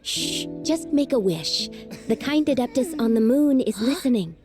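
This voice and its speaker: An actress playing a gentle, reassuring older child who explains things to younger siblings in a video game. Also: female voice, sits high, expressive, moderate pace, music in the background. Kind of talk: earnest storytelling game acting.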